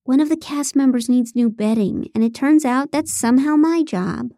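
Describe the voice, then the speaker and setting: Grumbling, put-upon female crew member, higher-pitched narrator's voice. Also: takes it slowly, dark tone, no room sound, studio quality, heavily acted. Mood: exasperated. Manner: groaning, exasperated.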